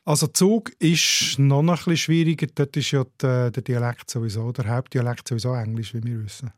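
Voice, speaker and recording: A Swiss German man talks through dialect features in a measured, explanatory way, slowing down to say individual sounds. His voice sits at an ordinary male pitch. The recording is dry and close, with no room sound. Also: steady tone, dry recording. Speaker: dialect expert.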